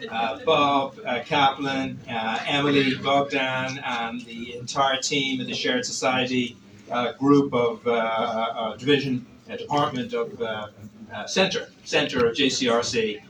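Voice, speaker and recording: A man with an Irish accent, from Ireland, giving a measured welcoming speech at a public event. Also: medium pitch, noisy background. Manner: measured, halting, with many fillers. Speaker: organization representative. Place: event hall. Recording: roomy, distant hall.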